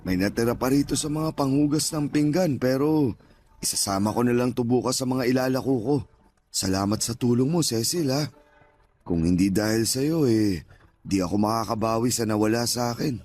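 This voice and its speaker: An actor playing a gentle, devout older male street vendor in a radio drama, humble and reflective. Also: medium pitch, normal speed, faint music behind, lightly acted. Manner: humble, reflective, plain-spoken. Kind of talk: Tagalog radio drama acting.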